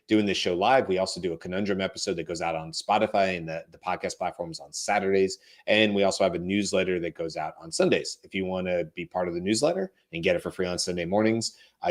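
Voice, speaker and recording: Hoarse, low-voiced man co-hosting a show. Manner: relaxed, rambling, frequent fillers. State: hoarse, losing his voice. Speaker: co-host. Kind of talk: casual live show chat.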